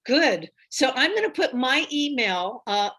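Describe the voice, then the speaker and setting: A woman presents a writing workshop over a video call, her voice slightly high and her delivery chatty and enthusiastic. She keeps pausing on fillers as she talks. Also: big pitch swings, a bit bright, breathy edge. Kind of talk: casual talk on a video call.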